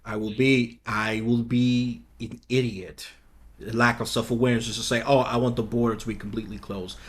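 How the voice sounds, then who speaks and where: A man's voice: mid-range voice, little pitch movement, normal speed. A man talking casually as a podcast guest, blunt and outspoken, rambling with frequent restarts. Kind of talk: casual conversation.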